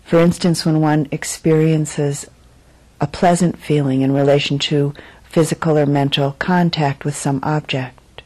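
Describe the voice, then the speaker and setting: Slow, low-voiced woman guiding meditation. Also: dark tone, rough recording. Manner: measured, deliberate phrasing with frequent pauses. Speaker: meditation teacher. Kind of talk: guided meditation, dharma talk.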